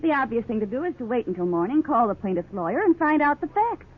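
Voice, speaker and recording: A woman's voice: on the high side, sing-song, fast talker, slightly rough. An actress playing a concerned, sensible middle-aged American wife and mother in a 1950s radio drama. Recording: tinny phone-like 1950s radio recording.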